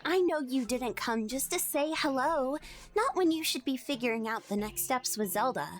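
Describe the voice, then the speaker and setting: A woman voicing a bossy, unfazed little-girl scientist in an audio drama, teasing and brisk. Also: high voice, lively intonation, soft background music. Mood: businesslike. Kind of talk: fan audio drama acting.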